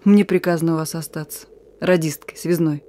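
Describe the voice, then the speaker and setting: A woman's voice: sits low, steady tone, brisk, dark tone, a little hiss or hum, natural voice. An actress playing a young, steadfast and thoughtful wartime partisan radio operator in a radio play, her voice often dropping toward a whisper. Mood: defiant.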